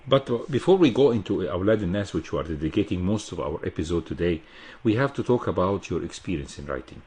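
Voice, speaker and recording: A man hosting a radio interview, asking long, formal questions with many halting fillers. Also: deep voice, expressive, a little mellow, slightly rough. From Egypt. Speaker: radio show host. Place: radio studio.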